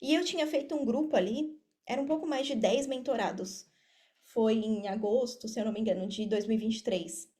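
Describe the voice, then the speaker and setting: A woman's voice: fairly high pitch, steady tone, fairly quick, warm tone. A woman with a Brazilian accent talking casually as a podcast guest, explaining things directly to the listener. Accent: Brazilian Portuguese. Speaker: podcast guest, affiliate marketer and mentor. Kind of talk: casual interview talk.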